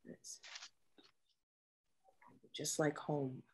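A woman acting a guarded, masculine-presenting Navy officer in a table read of a drama, speaking in a dry, measured way. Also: low-pitched, level tone, slight background noise.

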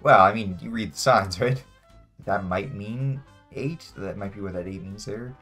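A young man with a deep voice plays a game and chats casually. He thinks aloud in a low-key way, with faint music in the background. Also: moderate pace, fairly bright tone. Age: young adult. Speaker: guest player. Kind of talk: casual chat while playing a game. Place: indoor room, sharing a webcam frame with a friend.

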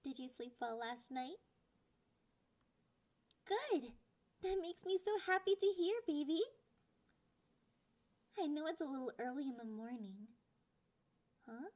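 Woman voicing a high-pitched, teasing catgirl girlfriend. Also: expressive, darkish tone. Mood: sweet, playful. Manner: breathy whispers, drawn-out taunting delivery. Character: clingy, possessive, teasing young catgirl girlfriend, slightly sadistic. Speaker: ASMR roleplay voice actor. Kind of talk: ASMR roleplay, intimate and half-whispered.

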